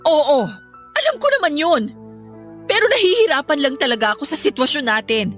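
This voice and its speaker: Actress as a high-voiced, exasperated Filipino girlfriend. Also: big pitch swings, fairly quick, fairly bright tone, faint music behind. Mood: troubled, earnest. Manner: exasperated, defensive. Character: weary, exasperated young teacher girlfriend torn under pressure. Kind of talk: Filipino radio drama acting.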